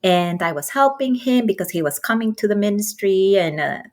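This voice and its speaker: A woman's voice: medium pitch, normal speed. A middle-aged woman from Ecuador with a Spanish accent tells her career story casually and animatedly as an interview guest. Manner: animated, chuckles often between phrases. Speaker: interview guest, nonprofit marketing executive.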